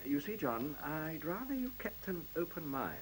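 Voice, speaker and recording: A man's voice: on the high side, sing-song, moderate pace, slight background noise, poor audio. A man playing a polite, hesitant, officious director of a secretive computer plant in a radio play.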